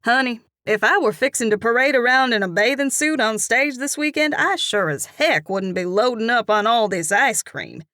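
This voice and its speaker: A woman voicing a brassy, nosy middle-aged Southern cashier, loud and chummy, with a Southern US accent. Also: fairly high pitch, expressive, normal speed, few pauses, dry recording, clean studio sound, pitched above the performer's own voice. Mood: gossipy.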